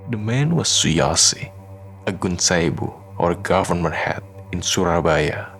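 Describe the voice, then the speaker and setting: Deep, slow male drama narrator. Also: expressive, vocal fry, a bit bright, soft background music. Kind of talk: scripted radio drama narration.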